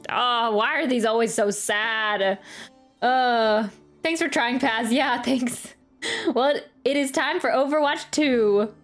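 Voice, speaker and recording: Female game streamer, casual reaction chat, unhurried delivery, slightly high voice. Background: game music.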